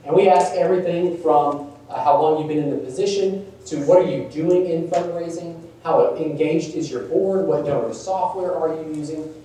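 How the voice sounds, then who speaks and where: A man's voice: fairly high pitch, moderate pace, hardly any pauses, warm tone. A man presenting a workshop at a nonprofit conference, talking casually with fillers. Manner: casual, conversational, many fillers. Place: large echoey conference room. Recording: echoey room, distant mic.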